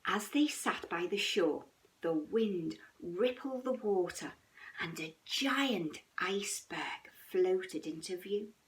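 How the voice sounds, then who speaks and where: Slow, animated woman reading a bedtime story. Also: fairly low pitch, distant mic, rough recording. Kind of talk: expressive children's storytelling.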